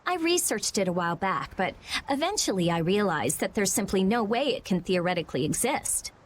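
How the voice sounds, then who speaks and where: Woman as a blunt, animated teenage girl mage. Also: medium pitch, fairly quick, slight background noise, close to an everyday voice. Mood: dismissive. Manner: curt, matter-of-fact. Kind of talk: English video game dub acting.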